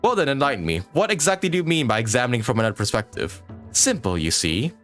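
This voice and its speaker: A man's voice: medium pitch, big pitch swings, fast talker, a bit bright, faint music behind. A man playing a pompous, argumentative male scholar, reading game text aloud dramatically. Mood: challenging.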